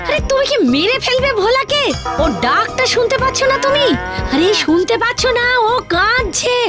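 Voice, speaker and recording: An anxious, soft-hearted wife in a village cartoon tale. Her voice is extremely high and leaps around as she pleads and questions, with clear music in the background. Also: expressive, moderate pace. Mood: alarmed, pleading. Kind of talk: Bengali fairy-tale cartoon acting.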